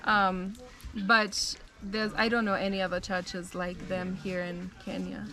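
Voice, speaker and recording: A young American woman telling her life story in a relaxed, chatty way, with frequent "um" and "like". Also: medium pitch, measured pace, a crowd in the background. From United States. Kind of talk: casual storytelling.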